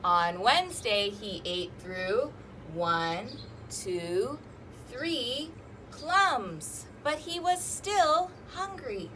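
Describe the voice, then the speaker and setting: A woman hosting a children's storytime, reading rhymes and picture books aloud in an animated, sing-song, exaggerated way. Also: fairly high pitch, drawn-out words, slight background noise. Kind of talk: interactive children's read-aloud with rhymes and fingerplay.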